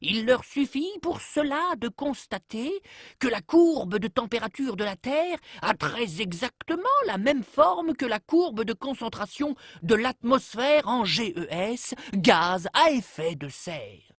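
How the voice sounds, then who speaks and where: Husky, high-pitched woman narrating. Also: big pitch swings, no echo, studio quality. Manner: measured storytelling delivery with deliberate phrasing. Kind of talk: scripted narration of an educational cartoon, told like a storybook tale.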